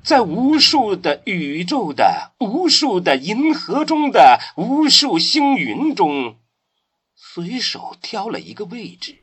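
A man narrating a science-fiction radio drama in a solemn, dramatic manner with weighty pauses. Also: high-pitched, huge pitch swings, fairly bright tone, breathy edge. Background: prominent music.

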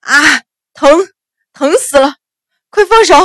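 A woman's voice: mid-range voice, expressive, moderate pace, fairly bright tone, no room sound, studio quality. A woman voicing an arrogant male government officer in an audiobook, a sneering, blustering bully who howls like a coward. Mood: pained, furious.

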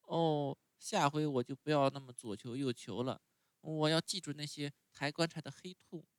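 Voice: medium pitch, moderate pace, no room sound, voice pushed up, natural voice. A storyteller voicing a stubborn, whining wooden puppet boy who is sick in bed. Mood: chastened. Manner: whining, pleading, wailing.

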